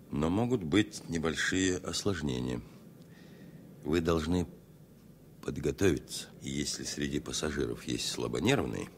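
An actor playing a grave, guarded airline captain in a radio play, speaking in a measured, hesitant way. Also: male voice, extremely low pitch, creaky, exaggerated voice. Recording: faint noise, old 1970 radio recording.

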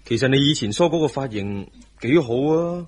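Husky young husband and son. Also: male voice, mid-range voice, expressive, normal speed. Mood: affectionate. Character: cheeky, coaxing grown son and protective husband. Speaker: radio drama actor. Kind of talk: Cantonese radio drama acting.

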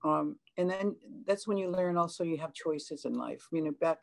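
An older woman whose first language is Chinese speaks on a video call from a room at home. Her voice is heavily gravelly, with strong vocal fry. She talks earnestly and often stops to restart a thought. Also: sits low, moderate pace, darkish tone. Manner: earnest, frequent hesitations and restarts. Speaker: panelist. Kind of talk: personal account on a panel.

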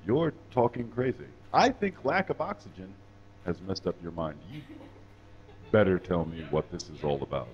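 A man's voice: low voice, animated, normal speed, dull audio. A man playing an exasperated, authoritative friend in a live comic puppet show, with exaggerated, emphatic delivery. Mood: exasperated, scolding. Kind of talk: live comedic puppet show, reading from script. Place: small comedy stage. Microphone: handheld stage microphone.

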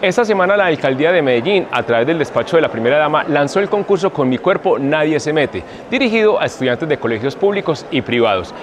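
A man's voice: fairly high pitch, lively intonation, fast talker, hardly any pauses, background noise. A middle-aged Colombian man presenting scripted news to camera in a busy exhibition hall. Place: large indoor exhibition hall. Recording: busy expo hall crowd behind.

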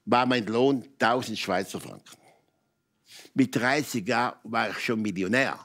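An older man with a Swiss German accent, telling personal stories as a talk show guest in emphatic, halting phrases with repetitions. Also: medium pitch, a bit bright. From Switzerland. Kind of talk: candid personal storytelling in conversation. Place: TV talk show studio with audience.

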